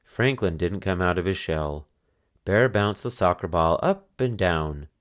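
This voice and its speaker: A man reading a children's picture book aloud as narrator, in expressive storytelling style. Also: low-pitched, unhurried.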